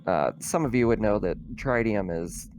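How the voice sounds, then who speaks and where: A man's voice: lowish voice, expressive, normal speed, darkish tone, slight background noise. A man narrating a tabletop roleplaying game as game master, explaining scenes in a casual, hesitant way.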